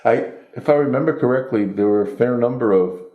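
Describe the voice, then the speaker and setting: A man's voice: mid-range voice, fairly quick, reverberant, narrow, tinny audio. A man interviewing an engineer at his home, asking brief questions and prompting him along. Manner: brief prompts and steady acknowledgments. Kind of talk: oral history interview.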